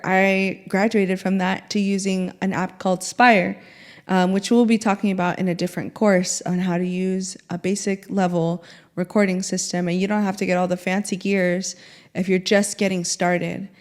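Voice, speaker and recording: A woman's voice: medium pitch, level tone, normal speed. A woman music mentor explaining her recording process in a chatty, step-by-step way. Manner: chatty, laughs easily, step-by-step. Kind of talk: casual teaching.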